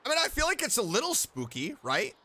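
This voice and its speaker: A man doing casual reaction commentary as he plays a game, playful, expressive and exclamatory. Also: extremely high pitch, quick pace, background noise. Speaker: streamer playing a game. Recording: game music behind the voice.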